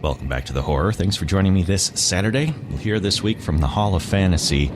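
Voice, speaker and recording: A male podcast host with an extremely low voice. He gives a scripted introduction to vintage radio shows. There is clear noise behind him. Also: lively intonation, fast.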